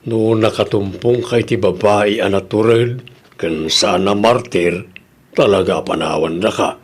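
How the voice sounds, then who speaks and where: A man playing a blunt buddy who teases and admonishes in an audio drama, with a gravelly, husky voice and an Ilocano accent. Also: fairly low pitch, steady tone, a little slow. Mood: blunt, teasing.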